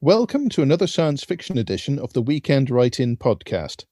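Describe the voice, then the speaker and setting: A man co-hosting a podcast who asks his guest questions in a lively, enthusiastic way. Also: medium pitch, fairly quick, no echo, clean studio sound. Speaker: podcast co-host. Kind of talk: podcast interview.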